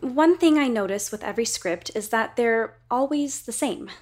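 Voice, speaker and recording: An actress playing an eager, helpful young theatre assistant, playful and earnest, in a period audio drama. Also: female voice, fairly high pitch, lively intonation, moderate pace. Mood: earnest, tentative.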